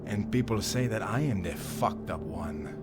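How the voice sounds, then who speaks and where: Male actor as menacing audio drama villain, resentful, curt, confident, lowish voice, slow delivery. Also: close to an everyday voice. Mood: cold menace. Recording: music behind the voice.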